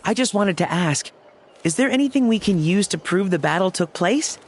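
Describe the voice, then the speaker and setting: A man's voice: sits high, animated, normal speed, slight background noise. A man voicing a timid, apologetic young bystander in a video game who tries to stop an argument. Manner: apologetic, pleading.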